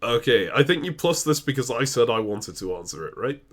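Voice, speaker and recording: A man from the UK with a hybrid British accent and a naturally deep voice, co-hosting a casual Q&A over a remote call, chatty and laughing between answers. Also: big pitch swings, fairly quick. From United Kingdom. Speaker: co-host. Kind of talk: casual chat. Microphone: remote call recording.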